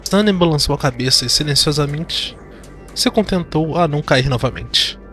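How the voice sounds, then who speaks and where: A man's voice: fairly high pitch, lively intonation, moderate pace, a bit bright. A man with a Brazilian accent giving dramatic scripted narration of a fantasy audio drama. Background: background music.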